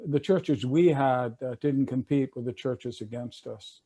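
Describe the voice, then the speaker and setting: A man's voice: medium pitch, unhurried, dark tone. An older man on a video call, casually recounting legal history with many fillers and restarts. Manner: conversational, loose with fillers and restarts. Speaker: attorney and panelist.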